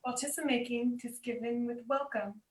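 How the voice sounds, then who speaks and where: A woman's voice: fairly high pitch, fairly even intonation, a little fast, natural voice. A young woman playing a commanding, scornful queen in a Shakespearean radio play, with theatrical delivery. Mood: gracious. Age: young adult. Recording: distant, echoey mic with clear noise.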